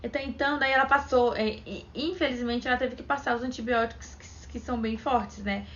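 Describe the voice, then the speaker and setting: A woman's voice: fairly high pitch, steady tone, fairly quick, fairly bright tone. A young woman from Brazil talking casually to camera as a vlogger, chatty, retelling events and quoting what others said. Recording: dull lo-fi sound with faint background noise.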